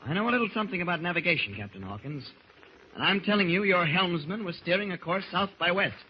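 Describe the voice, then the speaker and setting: Inquisitive radio serial hero, higher male voice, level-headed, polite yet blunt, muffled audio. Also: lively intonation, fast talker. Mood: blunt, insistent. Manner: polite but blunt, probing. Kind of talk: 1940s radio acting. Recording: muffled, noisy old radio recording.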